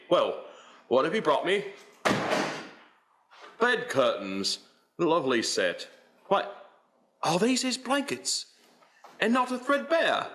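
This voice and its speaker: Guy doing a sly victorian pawnbroker, haggling n wheedling, pitch jumps around, radio play. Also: fairly bright tone. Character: shrewd, haggling male pawnbroker of Victorian London. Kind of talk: stage radio play acting.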